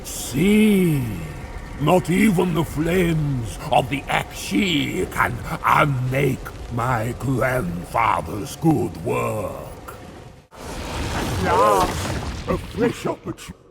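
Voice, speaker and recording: An actor playing a proud, defiant warlord devoted to a plague god in a video game, declaiming in a grandiose, deliberate way with a gritty voice. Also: male voice, mid-range voice, expressive, gravelly, soft background music, full character voice. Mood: triumphant, demanding. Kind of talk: video game cutscene acting. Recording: game dialogue over musical score.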